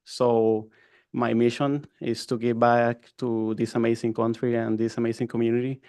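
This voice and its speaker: A man's voice: medium pitch, little pitch movement, normal speed, dark tone, no room sound, studio quality. A man from Mexico with a Mexican accent, a youth coordinator speaking earnestly at a city commission meeting. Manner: earnest, plain-spoken. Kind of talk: public comment at a city meeting.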